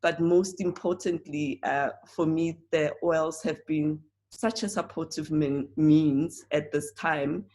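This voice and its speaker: A woman with a hoarse, croaky voice presenting an online class from home over a video call, talking earnestly with many fillers and restarts. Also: low-pitched, fairly even intonation, takes it slowly, hardly any pauses, dark tone. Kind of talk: casual spoken class talk.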